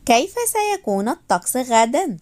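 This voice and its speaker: High, lively woman teaching. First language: Arabic. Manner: repeats phrases slowly, then translates briskly. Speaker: language teacher. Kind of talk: slow phrase drilling for learners.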